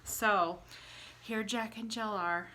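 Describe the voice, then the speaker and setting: Woman, children's read-aloud teacher. Bright, forward voice, slow simple delivery, animated storytelling with questions to the listener, faint background noise. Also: mid-range voice, rough recording. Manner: animated, acting out exclamations and sounds. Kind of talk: children's story retelling with props.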